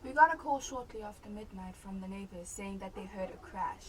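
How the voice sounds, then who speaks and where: A woman plays a police officer in a radio drama, medium in pitch. She reports formally and factually, a little off the mic, with faint noise behind. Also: fairly quick, far from the mic, lightly acted.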